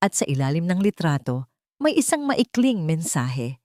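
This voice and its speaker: A woman's voice: low-pitched, big pitch swings, moderate pace, a little mellow, dry recording, studio quality. A woman reading a dramatic romance story as a scripted narrator.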